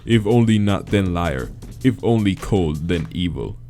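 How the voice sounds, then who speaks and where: A man voicing a big, simple, creepy gray male monster who speaks in broken, halting English. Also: low voice, faint music behind, pitched below the performer's own voice. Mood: blunt. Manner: broken halting English, short flat sentences.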